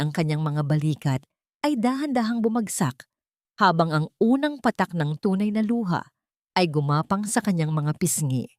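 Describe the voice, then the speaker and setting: A woman narrating a dramatic audio story in a measured, steady way. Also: fairly low pitch, dry recording, clean studio sound.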